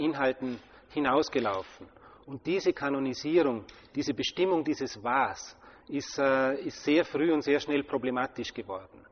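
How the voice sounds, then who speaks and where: A German man gives a scholarly answer in a lecture hall, his husky voice softened by a dull, muffled recording. The long reverb hangs behind his careful, hesitant phrasing full of fillers and restarts. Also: medium pitch. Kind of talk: academic discussion.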